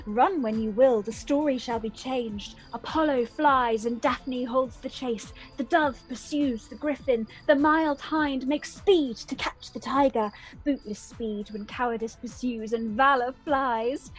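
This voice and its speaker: Devoted, lovestruck young female, acted by a woman, classical radio drama, high register, ardent and imploring. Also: a little slow, soft background music, low-quality recording. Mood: ardent, insistent. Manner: pleading, ardent, insistent. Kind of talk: Shakespearean radio-play acting.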